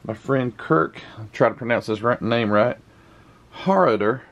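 A man hosting his model car channel, chatting casually while unboxing a parcel, his voice croaky from the morning, with lots of restarts. He talks indoors into a camera set a little way back from him. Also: medium pitch, a little slow, dull audio. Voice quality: rambling, restarts often. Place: indoor room with camera on a table. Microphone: camera mic set back from him.

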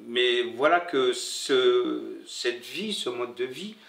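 A middle-aged man from Morocco who learned French later in life, telling his own story reflectively and haltingly, searching for his words. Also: mid-range voice, vocal fry, fairly bright tone. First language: not French, learned it after moving to France. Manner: halting, searching for words with many pauses and repetitions. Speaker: interviewee, bookseller. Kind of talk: reflective personal storytelling in an interview. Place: indoor room with bare wall, seated. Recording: roomy echo, slightly distant mic.

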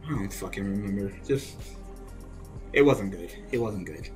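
A man's voice: on the low side, expressive, moderate pace, darkish tone, soft background music. A young man venting over a card game he just played, swearing and laughing in exasperation as he comments. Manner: ranting, profane, laughing in exasperation.

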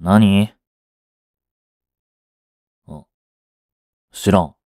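A man's voice: low-pitched, drawn-out words, dark tone, no echo, studio quality. A man playing a curt, sulky young boyfriend in a romance audio drama, with a blunt, muttering manner. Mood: irritated, weary. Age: young adult. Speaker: voice actor.

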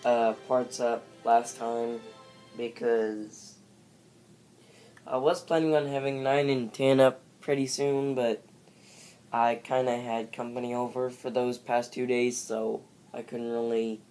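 A congested man talks slowly and mellowly as he introduces his game session, coughing now and then. Faint game music plays behind him in a dim room at home. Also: mid-range voice, little pitch movement, mellow. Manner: slow, apologetic. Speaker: let's play host. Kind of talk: casual gameplay commentary.

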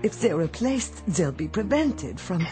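An actress playing a dutiful, protective robot housemaid with a measured, formal manner in a radio drama. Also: female voice, on the low side, big pitch swings, mellow, soft background music. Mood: grave, urging.

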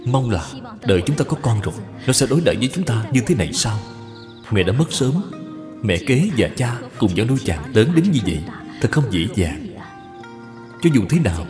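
Man voicing a dutiful wise wife, lively intonation. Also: mid-range voice, lightly acted. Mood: gentle, counselling. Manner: earnest, formal, persuasive. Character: gentle, dutiful, wise young wife in a moral tale. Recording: long reverb, music behind.

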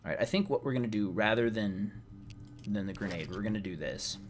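A slightly hoarse man giving casual, rambling gameplay commentary as a let's play host, sounding wry and exasperated. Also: on the low side, expressive, fairly quick, slight background noise. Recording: game music behind the voice. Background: video game music.

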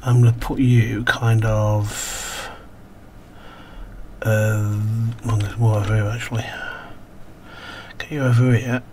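A man with a croaky, slightly coughing throat, casually commentating a game, reading on-screen text aloud and muttering to himself as he plays. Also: fairly low pitch, little pitch movement, slow, long pauses, a little mellow, slight background noise. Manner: mumbles to himself while playing, reads game text aloud. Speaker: gaming video host. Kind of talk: casual let's-play commentary.

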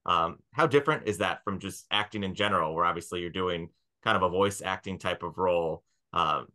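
A man in his thirties hosting a podcast, asking casual questions with halting starts, over a video call. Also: deep voice, bright, forceful tone. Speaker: podcast host.

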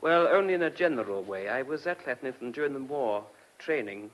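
A man's voice: mid-range voice, animated, a little fast, a bit bright, close to an everyday voice, rough recording. A man acting in a radio drama as a courteous but guarded stranger, hesitant and uneasy as he speaks. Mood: apologetic. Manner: hesitant, polite, evasive. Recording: background noise on radio drama.

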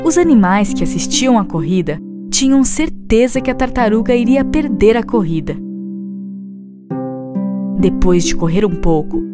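A woman giving a scripted storybook narration for children, as the narrator of a fable. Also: a little high. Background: music behind the voice.

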